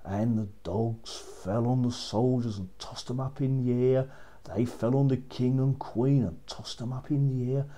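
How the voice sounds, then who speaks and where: A man narrating a children's story as a storyteller, with expressive, dramatic delivery. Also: mid-range voice, unhurried.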